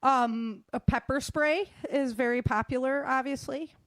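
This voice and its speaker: A woman's voice: high voice, fairly even intonation, measured pace, fairly bright tone, dry recording. A woman giving casual, conversational advice in an emphatic, plain-spoken manner. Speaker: guest sharing self-defense advice.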